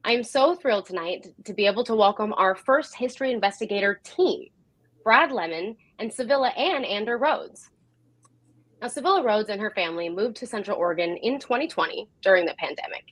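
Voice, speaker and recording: Female host, mid-pitched, scripted live-show intro, welcoming presenter delivery, dry recording. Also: expressive, brisk, a bit bright, no echo. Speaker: host of a live history program.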